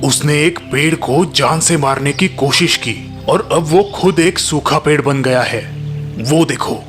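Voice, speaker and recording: A man plays an old holy man of the forest in a moral tale. He admonishes gravely, in a solemn and preachy manner. Music runs clearly behind the voice. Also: a little high, a bit bright. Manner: solemn, preachy, sometimes slow and verse-like.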